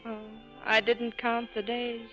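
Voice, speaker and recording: A woman's voice: on the high side, monotone, slow, faint music behind. An actress playing an earnest, anguished young woman torn between two loves, pleading carefully in 1940s radio style. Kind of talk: 1940s radio drama acting. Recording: dull vintage radio broadcast.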